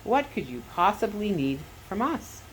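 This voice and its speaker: A woman voicing a firm, steady male doctor and father, speaking in a measured, earnest way. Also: medium pitch, slight background noise, voice pushed up, lightly acted, poor audio.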